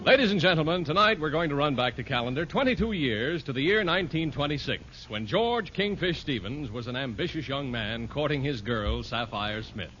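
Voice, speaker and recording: A man's voice: a little high, animated, moderate pace, hardly any pauses. A man announcing a 1940s radio show in a polished, emphatic scripted style. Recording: muffled vintage radio broadcast. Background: loud music.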